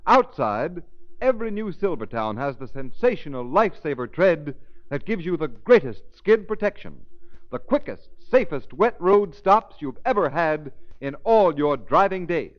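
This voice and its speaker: A man announces in formal 1930s radio commercial style. His fairly high voice moves emphatically up and down like a salesman's. The vintage recording sounds dull and muffled. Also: very animated, moderate pace.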